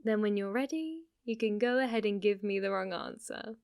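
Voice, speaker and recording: A woman's voice: mid-range voice, expressive, moderate pace, a little mellow, lightly acted. A woman playing a playful, possessive, lovestruck witch in an ASMR roleplay, speaking to the listener in a teasing, cutesy way. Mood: smug, teasing. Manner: teasing, cutesy, swinging between coy murmurs and eager outbursts.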